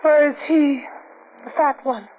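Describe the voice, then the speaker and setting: An actress playing a mysterious, vengeful young femme fatale in a 1940s radio drama, deliberate and theatrical. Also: female voice, sits high, steady tone. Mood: cool, mocking. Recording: tinny, noisy 1940s radio recording.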